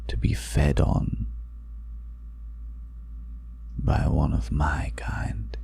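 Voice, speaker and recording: A man playing a seductive, ageless vampire in an intimate ASMR roleplay, speaking slowly and half in whispers, teasing with quiet menace, close to the microphone. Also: completely flat delivery, heavily acted. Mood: hungry, seductive. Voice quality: very deep, gravelly. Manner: slow, breathy half-whispered delivery with lingering pauses.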